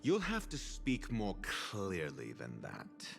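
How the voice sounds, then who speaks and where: A haughty male scholar in a video game, speaking slowly with lively, swinging intonation. He is dry, articulate and condescending, with music behind him. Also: sits low, gravelly, heavily acted. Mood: curt, disdainful.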